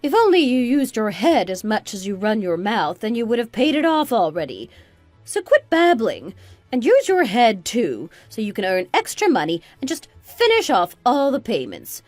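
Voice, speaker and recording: Woman acting a spiteful, animated mother-in-law in her sixties. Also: a little high, moderate pace, no room sound, faint music behind. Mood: scolding, contemptuous. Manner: berating, condescending, dramatic exclamations. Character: spiteful, self-righteous 62-year-old mother-in-law, scolding. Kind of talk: scripted radio drama dialogue.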